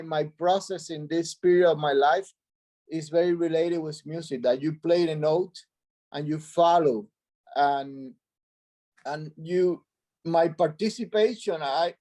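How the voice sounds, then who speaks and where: A middle-aged Cuban-born man with a Spanish accent, answering interview questions about his art in a halting way, often restarting as he searches for words, over a video call. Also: a little high, a little mellow. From Cuba. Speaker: artist guest.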